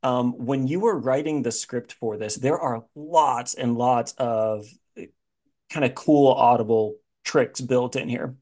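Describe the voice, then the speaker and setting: A man hosting a casual interview, asking questions in a halting, think-aloud way. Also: mid-range voice, a little mellow. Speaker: podcast host, interviewer.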